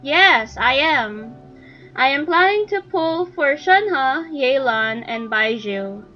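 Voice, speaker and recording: A slightly muffled stream microphone carries a woman with a fairly high voice. She chats unhurriedly and casually as a game streamer, dropping short reactions. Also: lively intonation, slow pace, soft background music. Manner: short reactive remarks, chatty.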